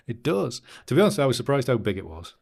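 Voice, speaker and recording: A man's voice: medium pitch, gravelly, darkish tone. A man hosting a live stream, chatting casually and rambling while he edits photos, often laughing and answering comments from chat. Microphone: new studio microphone.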